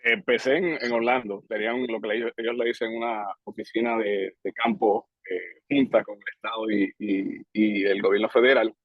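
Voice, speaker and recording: A man, answering interview questions in a relaxed, measured way with frequent hesitations. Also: on the low side, no room sound. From Puerto Rico. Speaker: guest, public safety director. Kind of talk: casual interview talk. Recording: dry, slightly dull podcast audio.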